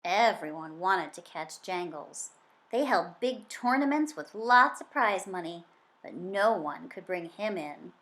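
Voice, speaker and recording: A woman reading a children's story aloud in an expressive, dramatic way. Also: mid-range voice. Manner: dramatic, varying from hushed suspense to emphatic excitement. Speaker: children's book reader.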